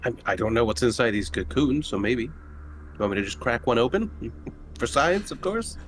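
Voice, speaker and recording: A man's voice: medium pitch, expressive, moderate pace. A man playing a tabletop roleplaying game with casual, playful table talk. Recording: faint background noise.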